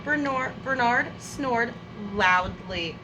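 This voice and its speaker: A woman's voice: a little high, background noise. A woman hosting a storytime, reading a picture book aloud to young children expressively, with friendly asides to her listeners. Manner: expressive, drawn-out words, addressing listeners as friends.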